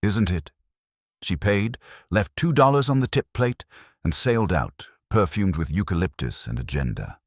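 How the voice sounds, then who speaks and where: A man's voice: low voice, animated, normal speed, husky, no room sound, clean studio sound. A man reading a scripted first-person detective story as an audiobook narrator.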